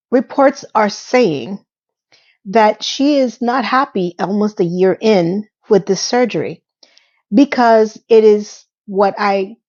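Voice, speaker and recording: A woman's voice: medium pitch, a little mellow, muffled recording. A woman in her fifties giving casual, gossipy commentary with plenty of asides and filler. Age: 50s. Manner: chatty, gossipy, with asides and little sung quips. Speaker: YouTube commentator.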